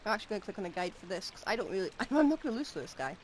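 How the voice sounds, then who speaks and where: A woman's voice: medium pitch, big pitch swings, racing, slight background noise. A woman streaming a game with casual, exasperated commentary, blurting out her reactions expressively. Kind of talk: casual live gaming commentary.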